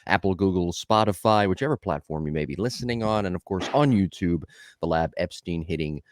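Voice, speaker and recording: A low-voiced man hosts a podcast in a chatty, upbeat way, often wandering off topic. His voice is recorded close and dry, with no room sound. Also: lively intonation, fairly quick, dry recording. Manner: upbeat, talkative, tangent-prone. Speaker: podcast host. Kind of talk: casual podcast chat.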